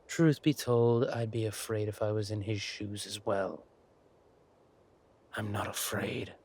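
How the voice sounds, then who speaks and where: A man playing a villainous king in an audio roleplay, silky and amorous yet quietly furious, with deliberate, mock-polite speech. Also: on the low side, steady tone, slight background noise. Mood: coldly angry.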